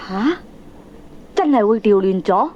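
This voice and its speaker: A woman's voice: medium pitch, expressive, unhurried, warm tone. A woman playing an anxious, sceptical middle-aged wife with a questioning manner in a Hong Kong Cantonese comic radio drama. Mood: puzzled, doubtful. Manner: questioning, exclamatory. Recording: dull lo-fi cassette recording.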